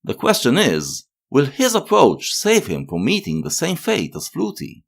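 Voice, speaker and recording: A man delivering scripted analytical narration as a video essayist, in a measured, explanatory manner. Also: medium pitch, wildly swooping pitch, gravelly.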